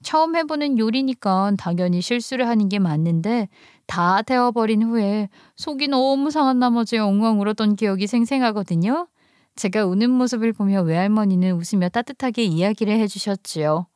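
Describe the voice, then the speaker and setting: A woman's voice: medium pitch, normal speed, a little mellow, dry recording, clean studio sound. A woman narrating a scripted first-person story.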